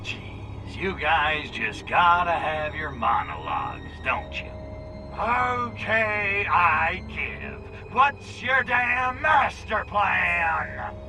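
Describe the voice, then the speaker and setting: A brash crude little alien invader from a video game, sarcastic, pretty high pitched for a guy, music behind. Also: sing-song, very slow, heavily acted. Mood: weary sarcasm. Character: cocky, crude, swaggering male alien invader. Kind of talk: video game cutscene acting.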